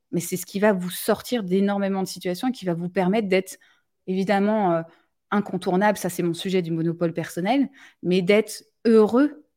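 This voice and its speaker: A woman's voice: mid-range voice, dark tone. A woman hosting a live talk, speaking directly and plainly, often restarting her sentences. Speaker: live show host. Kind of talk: casual live discussion.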